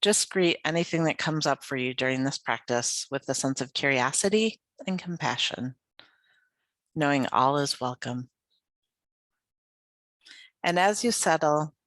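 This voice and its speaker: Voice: mid-range voice, no echo. Meditation guide with a close, dry sound. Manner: soothing, unhurried, gently inviting with pauses. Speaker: yoga nidra meditation guide.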